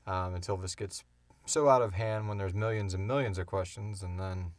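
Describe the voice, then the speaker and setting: A man's voice: sits low, steady tone, normal speed, dry recording. A man hosting a casual unscripted podcast Q and A, talking informally and swearing freely. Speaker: podcast host and producer.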